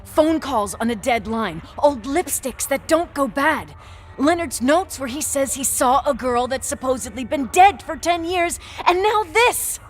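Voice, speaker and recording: A woman's voice: high-pitched, lively intonation, unhurried. Intense, headstrong young woman, music behind. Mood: agitated, insistent. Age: young adult. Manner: intense, sweary, emphatic. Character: strong-willed, defiant young woman investigating her father's hotel. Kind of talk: naturalistic video game voice acting.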